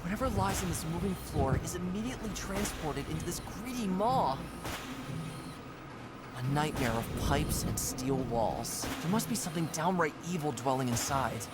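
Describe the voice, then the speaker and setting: An actor voicing a curious, plucky young animal hero in a cartoon adventure game, with expressive, wry, dramatic delivery over loud music. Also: medium pitch, normal speed, heavy background noise, natural voice. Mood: uneasy wonder. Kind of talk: cartoon adventure game voice acting, observational monologue.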